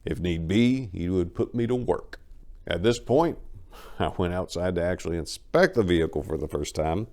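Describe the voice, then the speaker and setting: Male narrator for dramatic audiobook, low-pitched, folksy first-person storyteller. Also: normal speed, a little mellow. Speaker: narrator of a dramatic book reading.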